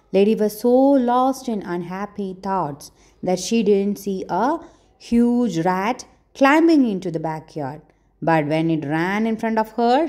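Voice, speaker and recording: Woman, children's read-aloud narrator, very animated pitch, mellow tone, deliberate expressive phrasing. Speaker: storyteller, channel host.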